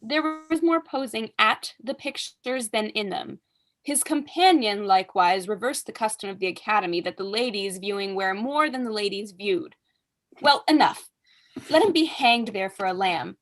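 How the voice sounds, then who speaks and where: A woman's voice: medium pitch, moderate pace, lightly acted. A young woman acting a haughty, witty aristocratic mother in a staged reading, pompous, arch and declamatory. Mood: dry, witty. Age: young adult. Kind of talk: staged reading of a period comedy.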